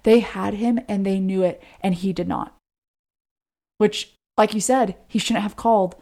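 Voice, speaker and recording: A woman's voice: mid-range voice, fairly even intonation, unhurried, gravelly, warm tone. An American woman co-hosting a podcast, telling a true-crime case in a conversational way. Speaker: podcast co-host.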